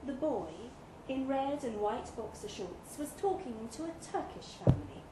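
A woman narrates a short story live in an echoey pub room. Her voice is high and her phrasing slow and measured, with clear noise behind her. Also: lively intonation. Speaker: story reader. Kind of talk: scripted literary narration, read live. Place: indoor live reading event in a pub.

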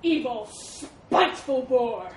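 A woman's voice: on the high side, steady tone, slow. A woman voicing a huge, proud male elephant in an animal fable, cold, haughty and threatening. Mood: furious. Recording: roomy, distant mic with background noise.

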